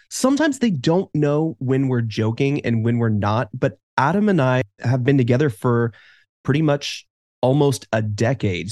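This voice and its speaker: A man's voice: medium pitch, darkish tone, no echo, clean studio sound. A man co-hosting a podcast in casual chat, with wry, sarcastic humor. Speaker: podcast co-host.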